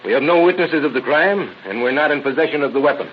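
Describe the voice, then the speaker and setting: An actor playing a plain-spoken, businesslike police detective in an old radio crime drama. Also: male voice, on the high side, animated, fast, natural voice. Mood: matter-of-fact. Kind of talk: 1930s-40s radio crime drama acting. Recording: muffled old radio recording with hiss.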